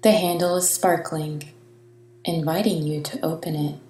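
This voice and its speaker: A woman guiding a meditation in a soothing, gentle, deliberate way with long pauses, her phrases trailing into breathiness. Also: deep voice, steady tone, a little hiss or hum. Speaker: meditation guide. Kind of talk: guided meditation narration. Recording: reverberant, distant-sounding voice over soft music.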